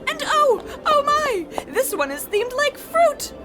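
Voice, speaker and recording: A woman's voice: very high-pitched, sing-song, takes it slowly, loud music behind the voice, exaggerated voice. A woman playing a giddy, wheedling wife with quaint melodramatic airs in an old-time comedy radio play. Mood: giddy wonder.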